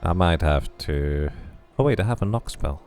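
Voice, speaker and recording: A man's voice: low-pitched, animated, normal speed, darkish tone, soft background music. A man casually commenting on his game as he plays, musing and thinking aloud. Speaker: game streamer. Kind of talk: casual let's play commentary.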